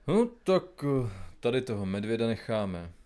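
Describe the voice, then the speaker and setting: A man playing an impatient, grumpy investigative journalist in an audio comedy drama, with a gruff, curt, colloquial manner. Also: mid-range voice, big pitch swings, darkish tone, natural voice. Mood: brisk, dry.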